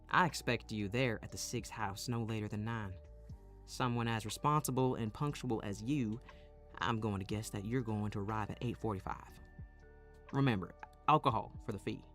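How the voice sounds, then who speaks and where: A man's voice: medium pitch, close to an everyday voice. Man acting a smug, teasing young playboy. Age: young adult. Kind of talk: audio roleplay acting.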